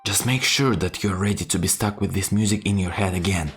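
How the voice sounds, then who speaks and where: A man's voice: low voice, a little fast, soft background music. A man narrating a tongue-in-cheek video tutorial in a playful, mock-serious, emphatic way. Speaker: video creator giving sarcastic game-making tips.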